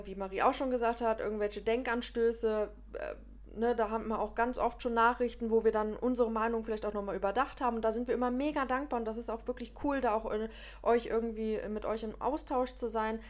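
A woman's voice: on the high side, steady tone, quick pace. German woman with a regional dialect. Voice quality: speech impediment. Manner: hesitant, many fillers and restarts. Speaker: podcast co-host. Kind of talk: casual podcast chat.

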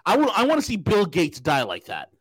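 A man hosting a podcast, talking in loud, profane, mocking rants. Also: high voice, wildly swooping pitch, brisk, husky, no room sound. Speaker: podcast host. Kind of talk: casual talk show banter.

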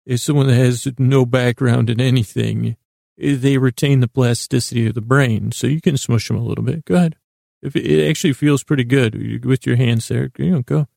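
A man hosting a sleep podcast in a creaky voice, meandering soothingly through a bedtime monologue, mumbling and stumbling over his words. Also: mid-range voice, dark tone, dry recording, studio quality. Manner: soothing, lulling, friendly. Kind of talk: rambling bedtime monologue to help listeners fall asleep.

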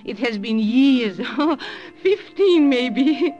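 A woman's voice: sits high, expressive, slow, dark tone. Muffled old German grandmother. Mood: nervous. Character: anxious, kindly old German grandmother who runs a bakery. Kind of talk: 1950s radio drama acting. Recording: muffled old-time radio recording.

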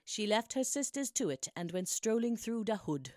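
A woman's voice: on the high side, sing-song, moderate pace, mellow, no room sound, clean studio sound. Playful woman narrating a rhyming comic tale. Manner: playful, theatrical. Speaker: podcast co-host and storyteller.

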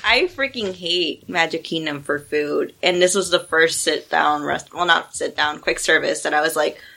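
A woman from an island, chatting casually in an animated, expressive way. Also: low voice, normal speed, creaky. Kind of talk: casual chat.

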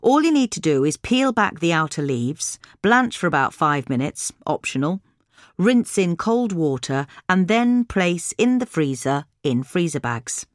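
A woman reads instructional narration in a fairly low voice. Her intonation moves around in a lively way, and the recording is close and dry. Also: a little slow, no echo. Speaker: reader of a gardening magazine article.

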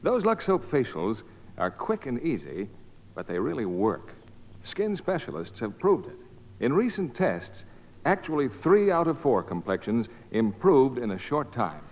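A man's voice: mid-range voice, very animated, a little slow, darkish tone. An American man announcing scripted 1950s radio advertising. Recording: muffled old radio recording, hall reverb.

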